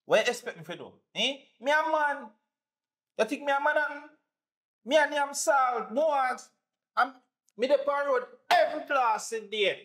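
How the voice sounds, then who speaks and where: A man's voice: high-pitched, expressive, fast, few pauses, bright, forceful tone. A man with a Jamaican accent playing a defensive, boastful, unfaithful husband.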